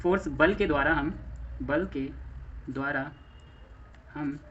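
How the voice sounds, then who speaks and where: Indian male teacher, slightly high, muffled recording. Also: slow, fairly bright tone, a little hiss or hum. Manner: explanatory, repeats phrases and asks himself questions. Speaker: physics teacher for exam coaching. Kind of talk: classroom lecture mixing Hindi and English terms.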